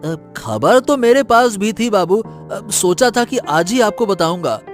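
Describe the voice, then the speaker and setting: A man's voice: high-pitched, expressive, unhurried, faint music behind. A man voicing an obsequious, wheedling henchman to a village villain in a cartoon story. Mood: eager, sly. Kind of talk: Hindi cartoon moral story dubbing.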